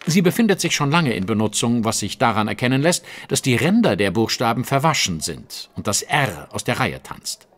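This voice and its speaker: Self-assured genius detective, audio drama; precise lecturing delivery with lively, swooping intonation. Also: male voice, medium pitch. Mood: confident, analytical. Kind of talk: German audio drama acting.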